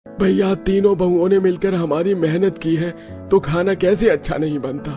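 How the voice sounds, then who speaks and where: Casting: man to voice higher-voiced, appreciative Indian household man for cartoon story, faint music bed. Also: steady tone, normal speed, dark tone, lightly acted. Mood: delighted, praising. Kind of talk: Hindi cartoon story dialogue.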